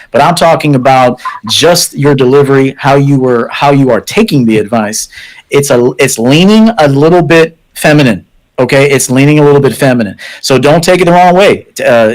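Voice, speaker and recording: A man's voice: fairly high pitch, poor audio. A man co-hosting a call-in advice show, giving blunt, direct advice in a lecturing way. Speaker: co-host.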